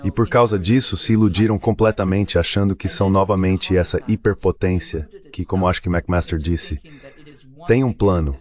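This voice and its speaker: Deep voice guy, political analyst on a panel, explains stuff step by step. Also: steady tone, moderate pace, darkish tone. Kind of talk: political commentary in a panel discussion.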